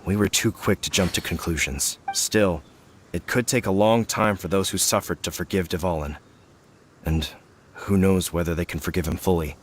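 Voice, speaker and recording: A man's voice: low-pitched, normal speed, slight background noise, natural voice. An actor playing an earnest, formal male knight in a game. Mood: solemn. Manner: formal, solemn.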